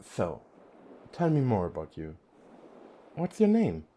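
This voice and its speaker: A man acts out a gentle, weary young femboy sea god in an ASMR roleplay. He talks slowly in a low-pitched, soft voice, hesitant and kind, with a playful streak. The delivery is intimate, with many pauses. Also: wildly swooping pitch, full character voice. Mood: playful, tender. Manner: soft, hesitant, with frequent pauses and small chuckles.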